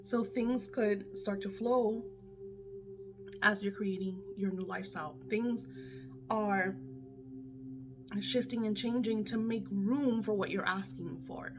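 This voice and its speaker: A woman's voice: medium pitch, steady tone, slow pace, long pauses, noisy background. A middle-aged woman coaching her viewers in casual, candid talk, emphatic and swearing freely, her voice a little worn as if she is losing it. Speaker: manifestation coach.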